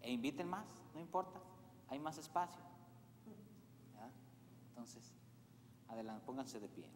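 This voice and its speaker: A man's voice: mid-range voice, animated, a little slow, noisy background. A man in his thirties, a priest making chatty announcements to his congregation and checking that they follow. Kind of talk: parish announcements at Mass. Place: large church. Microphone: far camera, church sound system. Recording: echoey church with long reverb.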